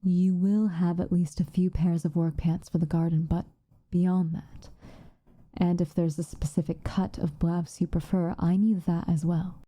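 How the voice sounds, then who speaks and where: A woman playing a stern but protective witch in an ASMR roleplay, speaking softly and formally, often in a breathy whisper. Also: lowish voice, level tone, no echo. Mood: gently reassuring. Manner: measured, formal, gently commanding.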